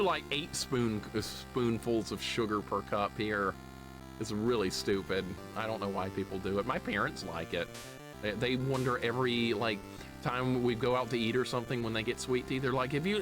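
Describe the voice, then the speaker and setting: Grumbling American man streaming over loud game music. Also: medium pitch, moderate pace, low-quality recording. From southern United States. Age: 40s. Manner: jokingly exasperated, grumbling, sweary. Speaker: live streamer playing a video game. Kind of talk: casual game commentary and chat with viewers. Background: retro video game music.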